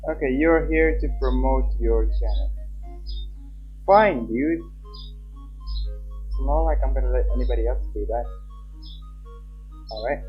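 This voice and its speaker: A man's voice: mid-range voice, a little slow, long pauses. Dull-sounding young male streamer, casual. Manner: chatty, loose, repeats himself. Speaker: livestreamer, host. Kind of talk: casual livestream chat while reading visual novel text aloud. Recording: dull lo-fi mic with background music. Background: game background music.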